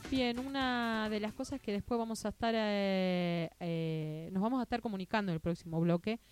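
A woman's voice: mid-range voice, moderate pace, a little mellow, soft background music. A woman with an Argentine Spanish accent hosting a casual talk show on a radio studio mic, with frequent fillers and restarts. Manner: hesitant, many fillers and restarts. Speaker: radio news show host.

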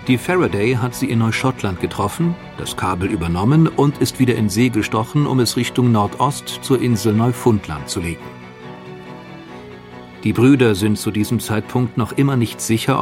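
A man narrating a history documentary in a steady, matter-of-fact way. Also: medium pitch, normal speed, over music.

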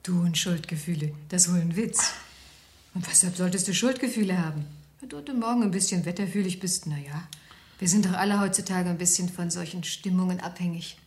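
A woman playing an indulgent, fussing wife in a German radio crime drama, soft and coaxing with mild reproach. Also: lowish voice, fairly quick, lightly acted. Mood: mildly hurt. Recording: old radio drama, faint hiss.

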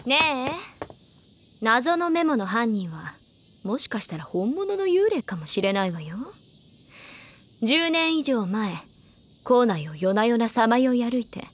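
A woman voicing a haughty, playful high school senior in an anime audio drama, coy and knowing. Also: slight background noise. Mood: eerie teasing.

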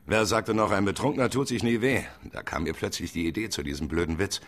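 Radio crime drama: guarded male suspect, deep voice, evasive under questioning. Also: quick pace.

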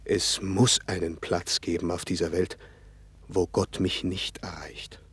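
An actor playing a troubled prophet fleeing his God by sea in a 1960s German radio play, low and husky, in slow halting phrases. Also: level tone, fairly bright tone, no room sound, exaggerated voice. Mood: weary, inward.

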